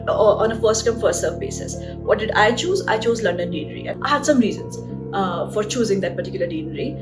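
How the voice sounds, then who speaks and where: A young woman doctor with an Indian accent, giving chatty advice in a vlog with lots of fillers and "right?" tag questions. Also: fairly high pitch. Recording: voice a bit distant from the mic, guitar music behind.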